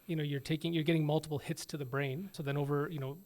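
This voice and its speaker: A man in his thirties from Toronto, an ICU physician, giving measured explanations with frequent pauses and fillers. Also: on the high side, steady tone, darkish tone. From Toronto, Canada. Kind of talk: interview answers.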